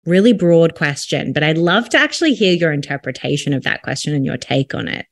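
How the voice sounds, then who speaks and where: A woman's voice: low-pitched, expressive, brisk. A woman hosting a podcast, asking earnest, open questions with some fillers. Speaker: podcast host. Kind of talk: podcast interviewing.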